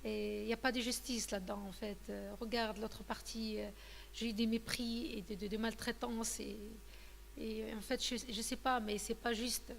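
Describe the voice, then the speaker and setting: A woman's voice: fairly high pitch, little pitch movement, normal speed, creaky, big-room sound, a little hiss or hum. A woman in her thirties from Tunisia, telling her personal testimony in an interview, hesitant and full of fillers and restarts. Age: 30s. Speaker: guest giving a testimony.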